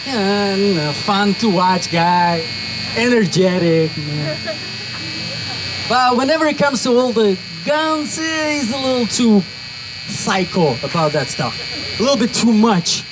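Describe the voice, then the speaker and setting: A young man with a Russian accent addresses an outdoor rally crowd, his voice pitched high and rising to shouts as he gets worked up. Noise hangs clearly behind him throughout the speech. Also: a little slow. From Uzbekistan. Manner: energetic, builds to shouts. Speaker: rally speaker. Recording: noisy outdoor crowd recording.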